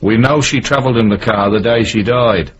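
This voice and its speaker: A man's voice: on the low side, a little fast, lightly acted. Dogged British male detective played by a man, dry and sardonic, weary but persistent. Radio drama, muffled with faint noise. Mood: coolly polite. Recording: dull noisy old radio recording.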